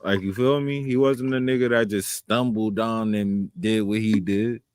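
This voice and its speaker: A man's voice: lowish voice, fairly even intonation, moderate pace, darkish tone, clean tone, no echo. A man talking casually and bluntly on a podcast, profane and repeating his points for emphasis. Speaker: podcast host. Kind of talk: casual chat.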